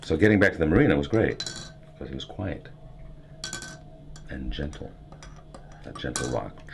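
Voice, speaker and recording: A middle-aged man with a New York accent talks in a meandering, musing way. His voice sits extremely low and has a gravelly fry, with a faint hiss of noise behind him. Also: expressive, normal speed. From United States. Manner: meandering, musing with fillers and restarts. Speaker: documentary subject. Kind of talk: reflective interview monologue. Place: houseboat on a river.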